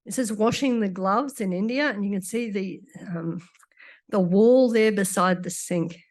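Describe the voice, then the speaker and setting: A woman doctor gives a conference lecture in a conversational way with dry asides, her voice a bit croaky after illness. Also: mid-range voice, a little slow, darkish tone. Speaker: doctor giving a conference talk.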